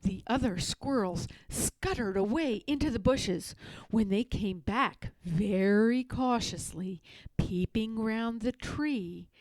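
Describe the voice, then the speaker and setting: A woman reading a children's story aloud as an expressive narrator. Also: a little high, slow, no room sound, poor audio. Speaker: storybook reader.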